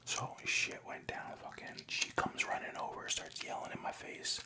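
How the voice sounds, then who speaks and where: A young man from Canada telling a story in a soft, unvoiced ASMR whisper, casual and profane, close to the mic. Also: moderate pace. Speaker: vlogger.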